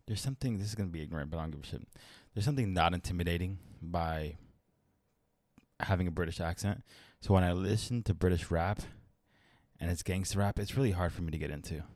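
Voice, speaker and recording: A man's voice: low-pitched, fast, darkish tone, no room sound, clean studio sound. A man from the United States in casual podcast chat, blunt and joking, swearing freely. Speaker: podcast co-host.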